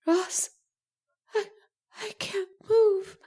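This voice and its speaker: A woman playing a terrified, pleading woman in an old-time radio horror play, gasping haltingly and close to tears. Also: very high voice, steady tone, mellow, exaggerated voice. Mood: panicked. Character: terrified, pleading young woman, the hero's beloved.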